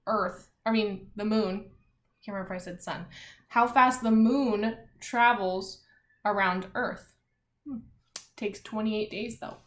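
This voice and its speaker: Slow-paced woman teacher reading to children. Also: medium pitch. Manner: simple, explanatory, thinks aloud and poses questions to young pupils. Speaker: primary school teacher. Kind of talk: children's read-aloud lesson with explanations. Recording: echoey room.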